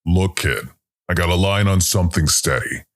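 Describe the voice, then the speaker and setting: A man voicing a weary, gruff, fatherly older outlaw in an audio story, with a gravelly voice. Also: low-pitched, lively intonation, normal speed, fairly bright tone. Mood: weary urging. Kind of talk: scripted audio story dialogue.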